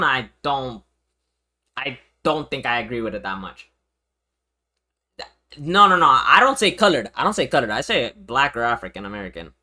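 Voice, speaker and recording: Casting: young male streamer from Venezuela, casual reaction chat, wide swings in pitch, sharp-edged tone, slangy and repetitive. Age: young adult. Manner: animated, slangy, swearing, repetitive.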